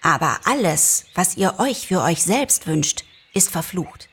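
A man voicing a shrewd, bargaining male fox in a storybook reading, speaking in a husky, urgent and conspiratorial way. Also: high-pitched, very animated, unhurried, fairly bright tone, pitched above the performer's own voice, full character voice. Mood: conspiratorial warning.

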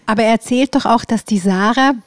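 A woman's voice: fairly high pitch, a little fast, no room sound, clean studio sound. A woman with a Bavarian accent hosting a casual interview, chatty and musing, rephrasing as she goes. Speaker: interviewer, host.

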